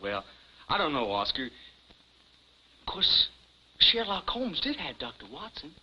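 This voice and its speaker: A naive, boastful young small-town fellow in an old TV comedy. His voice is very bright and a bit high, with a boyish, halting, folksy delivery. The soundtrack is dull and vintage. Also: sing-song, full character voice. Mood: self-important. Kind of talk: 1950s television comedy acting. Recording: dull vintage 1950s TV soundtrack, faint noise.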